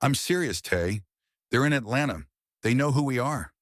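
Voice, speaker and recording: A man's voice: mid-range voice, animated, normal speed, no echo, studio quality, pitched above the performer's own voice, lightly acted. A man voicing a frightened young college athlete with a breathless, shaken manner in a story narration. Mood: grave fear.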